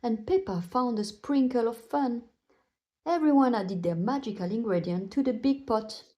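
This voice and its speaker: A woman reads a children's bedtime story as narrator. Her voice is fairly high yet soft and mellow. She tells it expressively, as if to young children. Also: expressive, normal speed, highs cut off.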